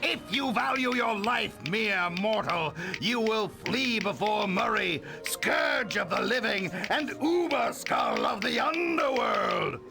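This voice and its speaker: An actor playing a demonic talking skull in a video game, a petulant, grandiose would-be evil overlord with a theatrical delivery. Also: male voice, sits high, expressive, slow pace, vocal fry, few pauses, pushed and bright, soft background music, full character voice. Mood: menacing.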